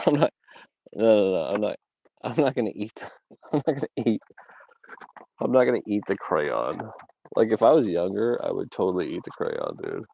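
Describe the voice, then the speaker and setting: Low gravelly young man livestreaming. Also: sing-song, moderate pace, pauses often, muffled recording, slight background noise. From Georgia, US South. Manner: rambling, filler-heavy, laughs at himself. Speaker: livestream host. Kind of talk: casual livestream chat. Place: outdoors.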